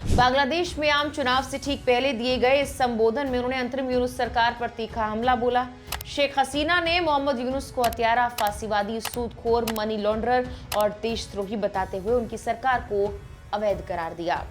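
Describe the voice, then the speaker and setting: A woman's voice: fairly high pitch, normal speed. A woman presenting scripted news narration in a formal, emphatic manner. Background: background music.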